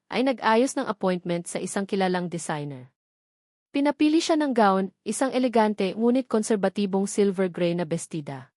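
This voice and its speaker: A woman narrating a romance story in a measured, dramatic storytelling manner. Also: medium pitch, a little mellow, no echo, studio quality. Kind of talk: scripted storytelling.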